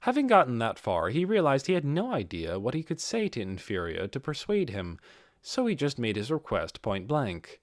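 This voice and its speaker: Expressive male audiobook narrator, lively pitch. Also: moderate pace, no echo, studio quality. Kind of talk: expressive scripted fiction narration.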